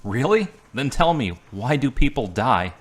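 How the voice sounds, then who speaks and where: A man's voice: mid-range voice, big pitch swings, a little slow. A man acting a wounded young man in a hospital bed, curt and argumentative, coughing between lines. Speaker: lead actor. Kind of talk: amateur radio drama acting.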